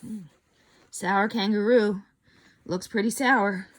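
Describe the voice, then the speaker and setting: A woman's voice: mid-range voice, fairly even intonation, slow. A woman reading a children's story aloud expressively, dramatic in delivery and addressing young listeners.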